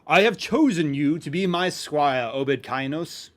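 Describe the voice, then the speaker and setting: A man's voice: fairly high pitch, animated, unhurried. A man voicing a vain, boastful knight in an amateur fantasy story reading, with haughty, formal speech. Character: pompous, boastful male knight, theatrical and vain.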